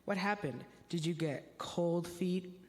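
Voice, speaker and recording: Young man as high-voiced chatty teen in echoey hall. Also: takes it slowly. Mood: animated storytelling. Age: teenager or young adult. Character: chatty, pun-loving teenage boy, excitable and joking. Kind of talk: church reader's theater.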